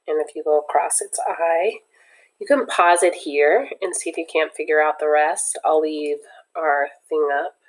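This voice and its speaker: A woman's voice: low-pitched, normal speed, rough recording. Woman reading a kids' book aloud. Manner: lively, expressive, explains words to kids. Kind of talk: children's book read-aloud with explanations.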